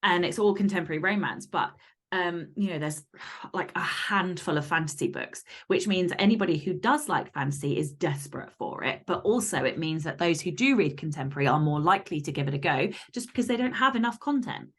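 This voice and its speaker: Female podcast guest, slightly low register, frank and sweary, speaking in an informal conversational style. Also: expressive, fairly quick. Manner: blunt, sweary, candid. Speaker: podcast guest and fantasy romance author. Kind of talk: casual interview chat.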